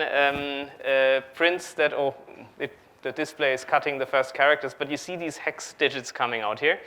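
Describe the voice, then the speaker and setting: A man's voice: fairly high pitch, pushed and bright. A man in his thirties giving a live technical demonstration as a conference speaker, hesitantly thinking aloud as he works. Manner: hesitant, thinking aloud while operating a laptop. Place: large auditorium stage at a conference. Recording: clear background hiss.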